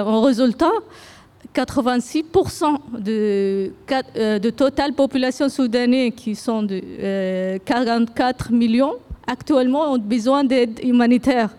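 A woman's voice: high voice, a little mellow. A woman from Sudan with an Arabic accent, speaking hesitantly at a public gathering as an association representative. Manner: hesitant, halting, many fillers and restarts.

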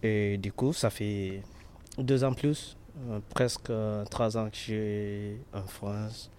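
Slow, hesitant young Nigerian man. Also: fairly low pitch, fairly even intonation, darkish tone, slight background noise. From western Nigeria. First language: Yoruba. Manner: hesitant, many fillers and restarts. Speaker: interviewee, artist and freestyle rapper. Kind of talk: casual interview in learner French.

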